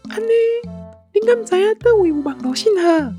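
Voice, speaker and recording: Squeaky, vain young princess. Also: male voice, expressive, normal speed, dark tone, faint music behind. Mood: puzzled. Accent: Taiwanese. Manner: sassy, bossy. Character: vain, cheeky young princess with a huge following. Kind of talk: children's radio play.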